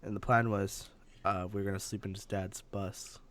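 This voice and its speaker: Casual young man telling a halting anecdote. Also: on the low side, level tone, fairly bright tone. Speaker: podcast co-host. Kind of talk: casual anecdote storytelling among friends.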